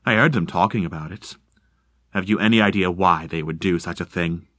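A man reading aloud, voicing a mysterious unseen stranger in the fog who speaks slowly and formally in a deep, insubstantial voice. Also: fairly bright tone, no room sound, clean studio sound. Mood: calm, menacing. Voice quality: deep and insubstantial, slow, measured.

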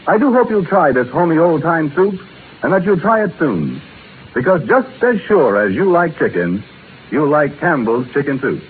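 A male radio announcer reading a sponsor's advertisement and introduction, smooth and persuasive. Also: fairly high pitch, big pitch swings, moderate pace, phone-quality sound. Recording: scratchy 1930s radio broadcast.

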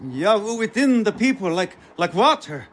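High-voiced, animated exiled nobleman. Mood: flustered, earnest. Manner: hesitant, halting, theatrical. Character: proud exiled European nobleman, idealistic, bumbling, restless. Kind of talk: audio drama stage acting.

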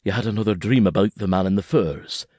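A man doing a gentle father. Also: on the low side, a little fast, no room sound, clean studio sound, natural voice. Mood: tender. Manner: gentle, reassuring. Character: Highland father, tender and steady.

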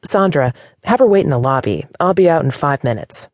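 A woman voicing an impatient female boss with a deep, cold voice. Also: expressive, a little fast, darkish tone, dry recording, studio quality. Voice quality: deeper voice, cold.

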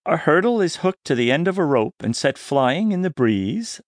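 An actor playing a Chinese guide on a dockside in an audiobook, explaining things in a deliberate, theatrical way. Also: male voice, a little high, sing-song, no room sound, clean studio sound. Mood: patient, instructive. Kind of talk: dramatized audiobook acting.

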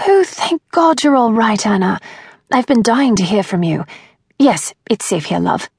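Woman acting sweet, blunt young English woman, gravelly edge. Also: medium pitch, expressive, measured pace, darkish tone, dry recording, clean studio sound, pitched above the performer's own voice, full character voice. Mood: relieved, affectionate. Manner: slangy, blunt, teasing.

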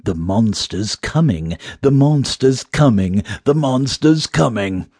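A man's voice: mid-range voice, expressive, a little mellow, slightly rough, dry recording, clean studio sound, pitched above the performer's own voice, full character voice. A man voicing a mysterious disembodied presence in a storybook, whispery, teasing and repeating itself. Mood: taunting. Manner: slow, teasing, repetitive.

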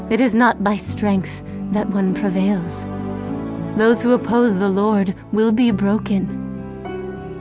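A woman's voice: medium pitch, close to an everyday voice. An actress playing a devout woman praying in praise, reverent and declamatory, in a dramatized scripture audiobook. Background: music.

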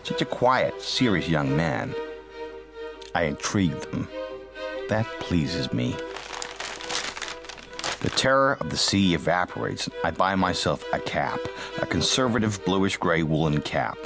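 A man's voice: low voice, expressive, a little slow, pauses often, music in the background. An actor playing a calculating, detached young American man, narrating dryly and confidingly in a radio drama. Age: young adult.

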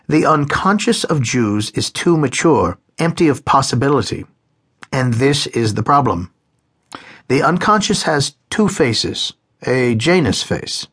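A man's voice: mid-range voice, a bit bright, slightly rough. A man voicing an elderly male psychiatrist, who speaks in a cold, emphatic lecturing way, raising his voice above his own. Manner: pronouncing, emphatic.

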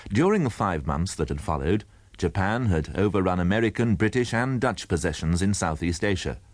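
A man narrating a history in a measured, formal scripted style. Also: deep voice, expressive, dry recording.

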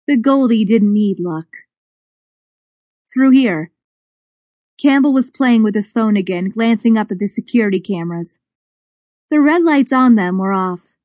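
A woman reading scripted suspense fiction as an audiobook narrator, with expressive storytelling. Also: medium pitch, dry recording, clean studio sound. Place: dry studio.

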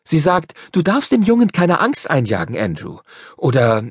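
A man's voice: a little high, huge pitch swings, moderate pace, husky, no echo, clean studio sound, voice pushed up, heavily acted. A man voicing an unhappy young country boy in a storybook reading, talking earnestly and near tears. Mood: secretive. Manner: earnest, upset and tearful. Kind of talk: storybook character voice.

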